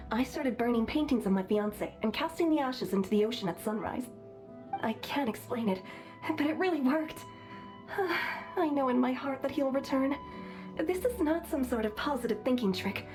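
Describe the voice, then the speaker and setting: An actress playing a lovelorn, credulous bride-to-be in a video game, earnest, gushing and fervently hopeful. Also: female voice, high voice, animated, moderate pace, over music. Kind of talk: video game character dialogue.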